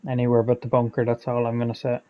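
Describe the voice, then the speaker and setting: A man hosting a golf game playthrough with chatty, self-critical play-by-play, a little hoarse and losing his voice. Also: mid-range voice, completely flat delivery, fast talker, highs cut off. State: losing his voice, clearing throat. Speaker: gaming channel host. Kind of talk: gameplay commentary.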